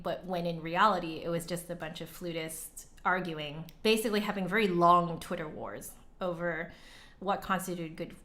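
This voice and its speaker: Slow-paced woman chatting on a livestream. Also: on the low side, fairly bright tone. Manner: reflective, informal, conversational. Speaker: livestream host and flutist. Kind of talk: casual livestream chat.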